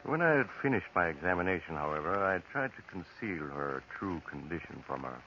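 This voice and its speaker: An actor playing a grave, eerie storyteller, narrating 1940s radio horror in an ominous, deliberate, dramatic way on a dull, noisy old recording. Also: male voice, low voice, sing-song, sharp tone, heavily acted.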